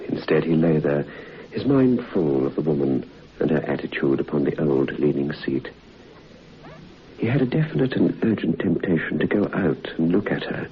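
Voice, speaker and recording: Very deep-voiced male radio narrator. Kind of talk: scripted radio drama narration. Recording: dull old radio recording with hiss.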